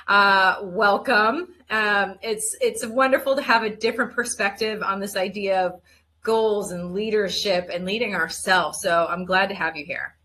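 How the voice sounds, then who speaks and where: A woman's voice: mid-range voice, a bit bright. A woman hosting an interview show, telling a rambling story and then giving an enthusiastic introduction of her guest. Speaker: host.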